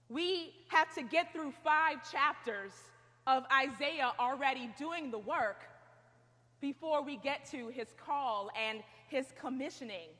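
Young woman preaching, high bright voice, lively storyteller, echoey hall. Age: young adult. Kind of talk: sermon. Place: large stone chapel. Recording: long hall reverb.

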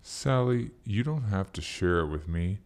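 A woman putting on a deep, slow, kind-hearted dung beetle. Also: heavily acted. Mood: gentle. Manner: calm, gentle, slow.

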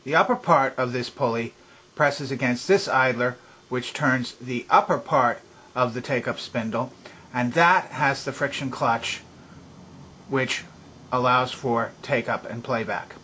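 A man at a workbench giving a calm, methodical hands-on demonstration of an old stereo system, speaking from behind the camera. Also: mid-range voice, measured pace, a little hiss or hum. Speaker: vintage electronics restorer.

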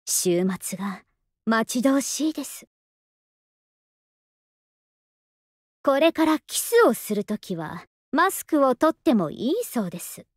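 A woman playing a lazy, polite, softly affectionate young masked soldier in an anime game, with an understated, languid delivery. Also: fairly high pitch, big pitch swings, normal speed, slightly rough, dry recording, studio quality. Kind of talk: Japanese anime game voice acting.

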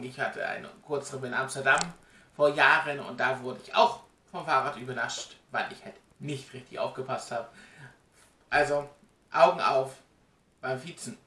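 A man's voice: medium pitch, steady tone, normal speed, bright, forceful tone. A man, chattily explaining things to camera with emphatic, direct address, recorded on a webcam in a room at home. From Germany. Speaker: vlogger. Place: small indoor room at home. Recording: roomy, distant webcam sound.

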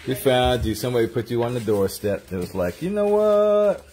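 A man's voice: medium pitch, big pitch swings, moderate pace, mellow, slightly rough. A man hosting a casual family kitchen vlog, teasing and bantering playfully. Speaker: host filming a family cooking livestream. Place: home kitchen, indoors. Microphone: handheld phone camera. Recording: noisy handheld phone recording in kitchen.

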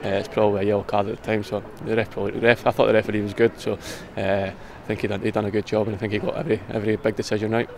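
A young Scottish man, a footballer giving a casual post-match interview outdoors by the pitch, saying "aye" in a quick, relaxed way. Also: vocal fry, a crowd in the background. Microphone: handheld interview mic, close camera.

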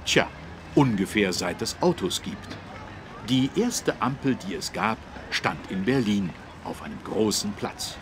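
A man's voice: lowish voice, animated, measured pace, background noise. A man playing a friendly, helpful policeman who explains things simply to small children in a German cartoon game. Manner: didactic, clearly phrased for small children. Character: friendly, helpful adult male policeman teaching children road safety.

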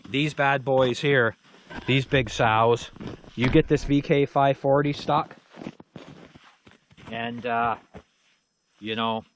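A man's voice: mid-range voice, fairly bright tone. A middle-aged man, rambling casually as he shows off snowmobiles outdoors in the snow, with lots of "you know" and "uh". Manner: folksy, plain-spoken, lots of fillers like "you know" and "uh". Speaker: channel host showing snowmobiles. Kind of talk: casual rambling walkaround talk. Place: outdoors in a snowy yard.

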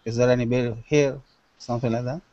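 A man learning English talks casually with a Chilean Spanish accent. His pitch is average. The audio is dry and somewhat muffled, as on a video call. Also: fairly even intonation, brisk, dark tone, dry recording. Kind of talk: casual classroom answers.

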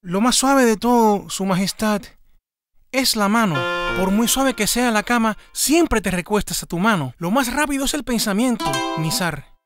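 A man voicing a humble, worried peasant father in an animated folk tale, meek and hesitant. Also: high-pitched, moderate pace, music in the background. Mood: earnest.

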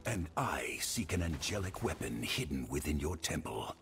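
Deep, stern warrior hero. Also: male voice, sing-song, moderate pace, creaky, fairly bright tone, a little hiss or hum, exaggerated voice. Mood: resolute. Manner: grave, purposeful, formal. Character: stern, resolute male warrior adventurer on a quest. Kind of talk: video game voice acting.

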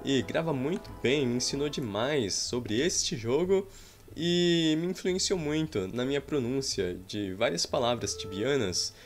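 Guy chatting over his game, lively up and down voice, goes pá pá in fights, faint game music behind. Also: mid-range voice, measured pace. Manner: playful, makes "pá pá" combat sound effects. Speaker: gaming YouTuber. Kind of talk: casual game commentary with roleplay. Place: small room at home.

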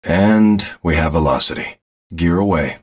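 Game role: laconic military pilot, deepish raspy voice, calm procedural radio delivery. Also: male voice. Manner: measured, laconic. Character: calm male military reconnaissance plane captain. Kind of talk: procedural cockpit radio calls, game acting.

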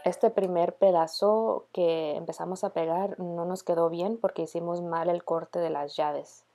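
A woman's voice: lowish voice, steady tone, measured pace, warm tone, soft background music. A woman in her thirties casually narrating a home DIY vlog, dropping in the odd English word. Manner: casual, mixes in English words.

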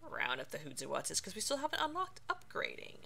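A woman's voice: deep voice, wildly swooping pitch, fairly quick, vocal fry, bright, forceful tone. A woman streamer giving casual, playful commentary on a video game, reacting aloud as she plays. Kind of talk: casual let's play commentary.

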